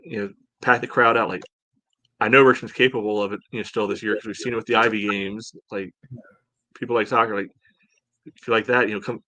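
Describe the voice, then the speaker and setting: Fast-talking man with a bright, forceful voice. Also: fairly low pitch, fairly even intonation, rough recording. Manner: informal, peppered with "you know". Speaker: podcast co-host. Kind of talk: casual sports podcast chat.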